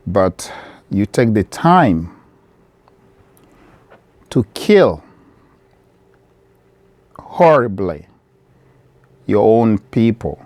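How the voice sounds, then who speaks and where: A middle-aged man from the Democratic Republic of Congo, speaking straight to camera from his shop in an earnest, measured appeal that repeats key phrases. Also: fairly low pitch, big pitch swings, darkish tone, no echo. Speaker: vlogger, business owner.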